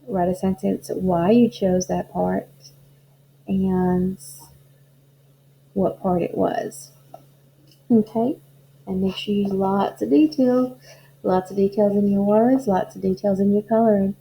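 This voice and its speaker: A woman teacher speaking in simple, deliberate phrases to children, as in a storybook read-aloud. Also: mid-range voice, creaky. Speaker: elementary school teacher. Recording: dull lo-fi audio with faint noise.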